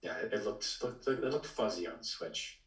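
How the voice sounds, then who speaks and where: A man chatting casually about video games as a podcast co-host, halting and tentative, often repeating his words. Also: fairly low pitch, animated. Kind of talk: casual video game discussion. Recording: roomy echo, distant from mic.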